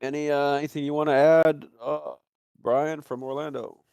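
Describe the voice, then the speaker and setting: A man's voice: fairly high pitch, little pitch movement, normal speed, vocal fry, a little mellow, smooth, no room sound. A man hosting a casual podcast, talking in a rambling way and circling back over his phrases. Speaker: podcast host.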